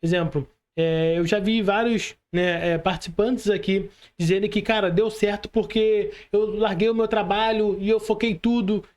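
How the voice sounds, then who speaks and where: A man's voice: sits high, steady tone, moderate pace, few pauses, darkish tone. Brazilian guy on a podcast, earnest pep talk, colloquial, keeps checking you follow. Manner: earnest, exhorting, colloquial with frequent check-in tags.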